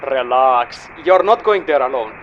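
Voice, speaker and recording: A man's voice: medium pitch, expressive, a little slow, dull audio, a little hiss or hum, natural voice. A man playing a stern, businesslike mission handler, giving a formal and unyielding briefing in an audio drama. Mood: measured, official.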